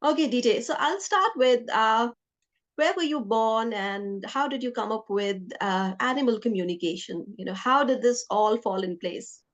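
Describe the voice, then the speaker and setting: A woman speaks as a podcast host in an interview setting. Her voice sits a little high, and she talks in a conversational question-and-answer style. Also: normal speed, dull audio.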